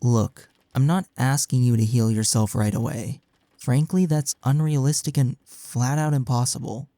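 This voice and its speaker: A man plays a gentle young male best friend in an intimate audio roleplay. His voice is mellow and warm with a creaky edge, recorded very dry and close. He speaks earnestly and frankly, offering reassurance. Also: medium pitch, dry recording, close to an everyday voice.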